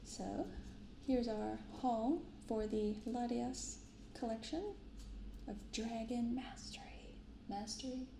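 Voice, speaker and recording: Female ASMR host, hushed and gentle, slow delivery with some vocal fry, binaural mic. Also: fairly high pitch, fairly even intonation. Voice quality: soft-spoken, hushed. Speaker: host of a card-opening ASMR channel. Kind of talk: soft-spoken ASMR vlog. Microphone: binaural microphone. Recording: roomy sound with faint background noise. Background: light rain, faint ambient noise.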